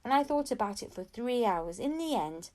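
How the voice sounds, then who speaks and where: A woman's voice: fairly high pitch, expressive, moderate pace, dark tone. A woman reading a children's story aloud as an audiobook narrator. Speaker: audiobook reader.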